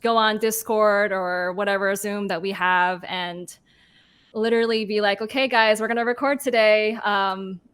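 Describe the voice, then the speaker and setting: A woman's voice: medium pitch, steady tone, moderate pace, a bit bright, smooth. A woman moderating a panel in a casual, informal and jokey way.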